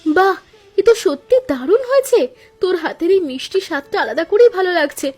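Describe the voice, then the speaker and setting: A woman voicing a practical young daughter-in-law in a cartoon, talking in a brisk, expressive way. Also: extremely high pitch, soft background music.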